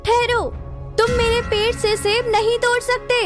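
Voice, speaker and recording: A woman's voice: extremely high pitch, flat delivery, unhurried, music in the background. A woman voicing a young, assertive female monkey, an enchanted merchant's daughter, in a cartoon story. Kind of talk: Hindi moral-story cartoon acting.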